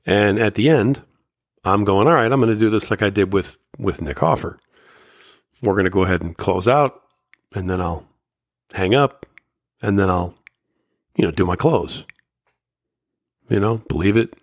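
A man's voice: fairly low pitch, moderate pace, long pauses, no echo, clean studio sound. A man hosting a solo podcast, talking candidly and motivationally, his voice slightly scratchy from a summer cold. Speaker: podcast host.

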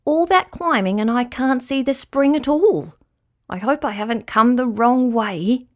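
A woman's voice: on the high side, measured pace, natural voice. A woman playing a naive, fretful little male sea rat, plaintive and easily offended, in a children's storybook. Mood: worried. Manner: plaintive and indignant.

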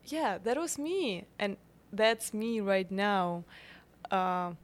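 A woman in her twenties from Ukraine, with a Ukrainian accent, talking as a guest in a casual interview, halting and full of fillers. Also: medium pitch, lively intonation. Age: 20s. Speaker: guest, bakery founder.

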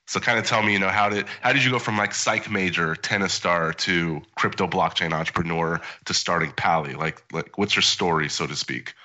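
A male podcast host asks loose, casual questions in a low voice with a sharp, bright tone. He sounds a little distant from the mic, and the recording is dull and lo-fi. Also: brisk, few pauses. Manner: loose, filler-heavy questioning.

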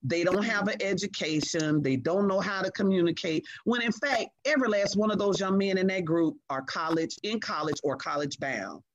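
A middle-aged woman speaking as a guest in a conversation, earnest and emphatic, measured in her delivery. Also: on the low side, dry recording, highs cut off. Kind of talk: reflective conversation.

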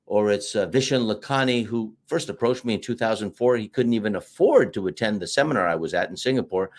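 A man's voice: on the low side, a little fast. A middle-aged American man, a marketing teacher talking at length as an interview guest, in an expansive storytelling way with fillers. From United States. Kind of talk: casual interview talk.

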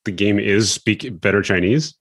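A man in casual conversation, relaxed and affirming, chatting along with plenty of filler words. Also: on the low side, normal speed, husky.

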